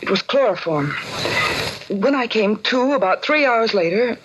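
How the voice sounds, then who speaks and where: A woman's voice: on the high side, expressive, moderate pace, natural voice. A woman playing an earnest, distressed young working woman under suspicion in a 1940s radio drama, pleading and resigned. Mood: resigned, recounting. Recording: muffled, faintly noisy 1940s radio recording.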